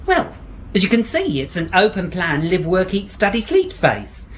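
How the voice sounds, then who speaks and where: A slick, pushy estate agent. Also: male voice, high voice, huge pitch swings, normal speed, slight background noise. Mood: salesy. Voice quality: salesy, breezy patter. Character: smooth-talking, pushy male estate agent. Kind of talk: radio drama acting.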